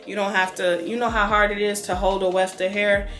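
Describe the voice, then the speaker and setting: Female vlogger, thirties, American accent. Casual, conversational, rambling as she thinks aloud; mid pitch, recorded indoors at home. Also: level tone, brisk, fairly bright tone, faint music behind. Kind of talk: casual chatty unboxing vlog. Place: small room indoors at home.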